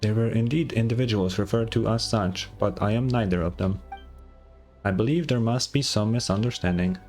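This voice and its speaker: A man voicing a huge ancient dragon robot record-keeper from a game, formal, measured and helpful. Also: fairly low pitch, a little mellow, faint music behind, natural voice. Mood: polite, matter-of-fact. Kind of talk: reading game dialogue aloud.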